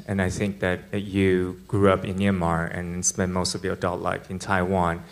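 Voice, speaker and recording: A man in the audience poses a careful, articulate question. His voice is low and flat, with little rise and fall. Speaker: audience member. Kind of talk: asking a question.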